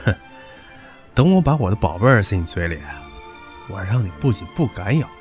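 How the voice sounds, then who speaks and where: Brief: a cocky, vulgar young man, low voice, very lively delivery, soft music behind. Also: normal speed. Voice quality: low, swaggering and crude. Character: brash, pushy young man, crude and teasing. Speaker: voice actor. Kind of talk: audio drama acting.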